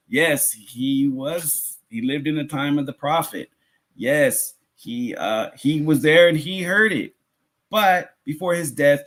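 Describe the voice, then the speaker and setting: A man giving a religious lecture as a teacher, conversational, posing rhetorical questions and rephrasing his points. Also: mid-range voice.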